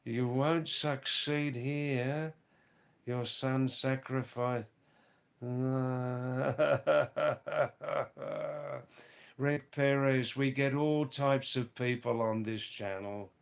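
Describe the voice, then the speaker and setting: A hoarse man live-streaming from a hill village, reading out viewers' comments and greeting them by name in a halting way with many fillers. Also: mid-range voice, fairly even intonation.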